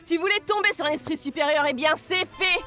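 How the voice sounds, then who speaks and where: A woman's voice: very high-pitched, fairly quick, bright, forceful tone, soft background music. A woman voicing a scheming, smug villainess with a haughty, mocking delivery in a French children's cartoon.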